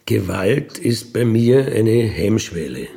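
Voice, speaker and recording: Gravelly man telling his story. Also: lowish voice, lively intonation, takes it slowly, dark tone. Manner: colloquial, emphatic, seeks agreement with tag questions. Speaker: interviewee, career crook telling his life story. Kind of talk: casual personal storytelling.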